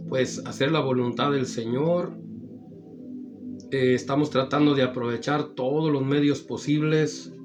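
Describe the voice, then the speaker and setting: Slow-spoken, slightly hoarse male preacher. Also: medium pitch. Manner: repetitive addresses, drawn-out phrases. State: slightly hoarse after the flu. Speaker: evangelical preacher and program host. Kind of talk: slow, warm-toned radio-style greeting and preaching. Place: studio in Pomona, California. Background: background music.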